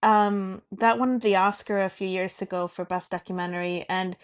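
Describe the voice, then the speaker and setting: A woman's voice: medium pitch, fairly even intonation, normal speed, muffled recording. A woman co-hosting a podcast in casual, candid conversation, informal and ready to tease or challenge. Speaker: podcast co-host.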